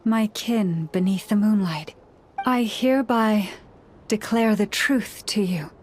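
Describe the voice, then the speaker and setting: A woman playing a serene priestess leader in a video game, speaking in a formal, measured, earnest way. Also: mid-range voice, darkish tone. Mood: solemn, ceremonial. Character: tall antlered priestess leader, gentle, solemn, serene. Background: background music.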